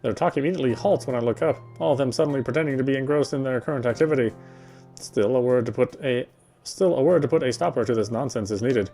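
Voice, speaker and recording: A man's voice: mid-range voice, quick pace, mellow, faint music behind. A man reading visual novel narration aloud, sometimes stumbling and repeating phrases. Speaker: playthrough reader.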